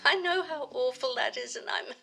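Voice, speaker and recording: A woman's voice: high-pitched, normal speed, creaky, over music. A woman playing an earnest, naive young activist granddaughter in an audio drama, stammering and hesitating in her eagerness. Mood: apologetic.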